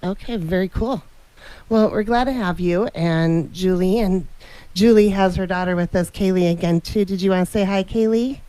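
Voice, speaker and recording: A woman's voice: medium pitch, moderate pace, dark tone, clean tone. An upbeat woman hosts a radio talk show with a chatty, welcoming manner. Her mid-range voice sits dry and close in the studio mix, without room echo. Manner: upbeat, chatty, introduces guests and sponsors. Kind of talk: casual radio interview.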